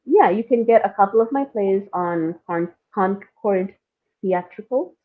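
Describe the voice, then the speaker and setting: A woman from India and Singapore chatting casually as an interview guest, telling personal stories in an animated way with frequent laughter. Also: mid-range voice, unhurried. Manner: animated, laughs often while talking. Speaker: podcast guest, playwright and screenwriter. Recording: thin phone-like call audio.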